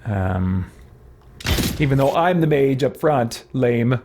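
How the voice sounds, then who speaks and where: A man giving casual let's play commentary, thinking aloud as he deliberates over a video game. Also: medium pitch, animated, darkish tone. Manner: musing aloud, hesitant deliberation.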